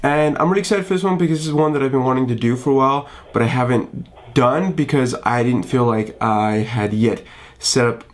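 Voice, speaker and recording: A young adult man hosting a casual vlog from his small home office studio, talking in an upbeat, rambling way with many fillers and restarts. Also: mid-range voice. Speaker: vlogger.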